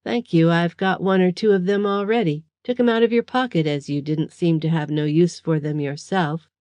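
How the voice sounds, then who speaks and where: A woman's voice: fairly low pitch, steady tone, normal speed, a little mellow, no echo, lightly acted. A woman doing a coarse, cheeky male thug. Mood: mocking. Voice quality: rough, common speech.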